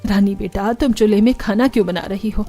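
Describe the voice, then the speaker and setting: A woman voicing a gentle, concerned middle-aged village mother-in-law in a cartoon story, in a fretful, motherly manner. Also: mid-range voice, fairly quick, soft background music, lightly acted. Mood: fretting.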